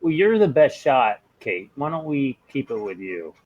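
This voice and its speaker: A man's voice: mid-range voice, big pitch swings, unhurried, darkish tone, no echo, highs cut off. A man talking casually as a player in a tabletop role-playing game.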